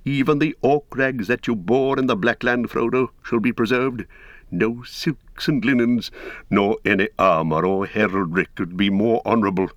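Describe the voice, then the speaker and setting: A man voicing a wise, kindly old male wizard who speaks in a measured, stately way. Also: mid-range voice, no echo, natural voice.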